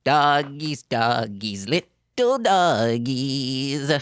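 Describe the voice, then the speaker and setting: Woman as a creepy alien rink guy, sing-song, slow, lowish, dry close sound, comedy read. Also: animated, smooth, no room sound, exaggerated voice. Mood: creepy, cooing. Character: creepy, doting space-alien man running roller rink.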